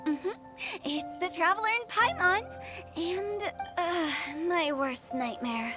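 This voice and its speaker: A woman's voice: very high voice, lively intonation, slow, heavily acted. A woman voicing a playful, teasing young funeral director in a video game, with a mock-formal manner. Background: game music.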